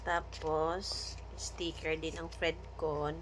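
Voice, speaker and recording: A woman's voice: low-pitched, level tone, drawn-out words, noisy background. A Filipino woman chatting casually in an unboxing vlog, thinking aloud and mixing in English words. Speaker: vlogger.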